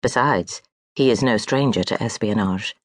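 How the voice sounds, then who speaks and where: An audiobook narrator reading historical fiction in a measured, even storytelling manner. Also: mid-range voice, no room sound. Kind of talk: scripted literary narration. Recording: dry close studio audiobook.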